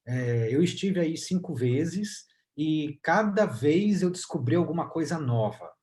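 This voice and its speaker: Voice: mid-range voice, expressive, measured pace, a little mellow. An interviewer in a video call interview who asks the guest questions.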